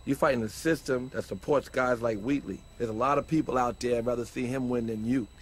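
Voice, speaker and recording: An actor playing an earnest older mentor in a crime video game, giving advice in a measured, husky voice. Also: male voice, mid-range voice, fairly even intonation, natural voice. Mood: grave, advising. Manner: measured, advising.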